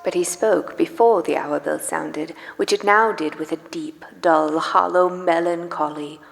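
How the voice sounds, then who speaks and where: A woman narrating a live radio play dramatically, with theatrical, emphatic and deliberate phrasing. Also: sits low, fairly even intonation, gravelly, soft background music. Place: live stage in a church. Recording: long church hall reverb.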